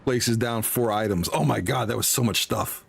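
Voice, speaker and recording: A man's voice: medium pitch, moderate pace, gravelly. A man giving casual, slangy, joking commentary while playing through a game and reading dialogue aloud. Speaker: game streamer.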